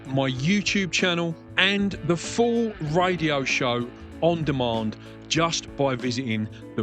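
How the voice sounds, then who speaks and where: A man's voice: fairly high pitch, fairly bright tone. A man hosting a podcast interview, effusive and enthusiastic, chatting in a friendly way. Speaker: podcast and radio host.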